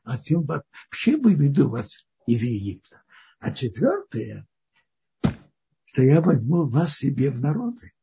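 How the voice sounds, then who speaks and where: A man teaching the weekly Torah portion in a halting, thinking-aloud way, often restarting his phrases. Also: mid-range voice, wildly swooping pitch, creaky, warm tone, dull audio, a little hiss or hum.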